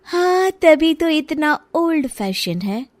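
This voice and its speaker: A woman voicing a sassy, sweet-talking young daughter-in-law in an animated story, with exaggerated, expressive delivery. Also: high voice, slow pace, no echo, studio quality, heavily acted. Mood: sarcastic complaint. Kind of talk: animated Hindi moral story acting.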